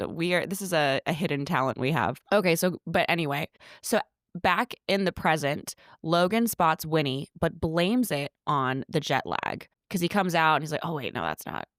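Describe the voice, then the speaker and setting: A woman co-hosting a podcast, chatting casually as she recaps a movie, with quick asides and restarted sentences. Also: sits low, expressive, no echo, studio quality. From United States. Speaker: podcast co-host.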